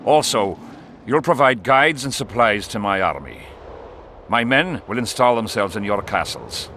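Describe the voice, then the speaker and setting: Domineering low-voiced warrior king. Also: expressive, measured pace, bright, forceful tone, a little hiss or hum, full character voice. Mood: menacing. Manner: commanding, sardonic. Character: domineering, scheming warrior king, middle-aged, impatient. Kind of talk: dramatic video game acting.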